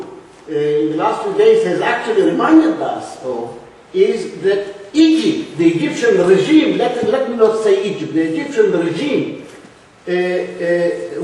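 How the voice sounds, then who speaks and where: An older man from Palestine speaks slowly and deliberately, with a rather high voice. He hesitates and restarts often as he lectures. The recording is distant and echoey, with a long hall reverb. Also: darkish tone. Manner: measured, thoughtful, many hesitations and restarts. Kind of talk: public lecture.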